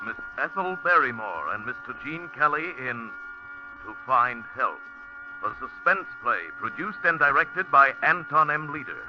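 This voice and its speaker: A man's voice: medium pitch, expressive, unhurried. A man announcing an old radio play in a formal, emphatic vintage style, heard from a distance on a lo-fi 1940s broadcast. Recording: lo-fi 1940s radio broadcast over loud music.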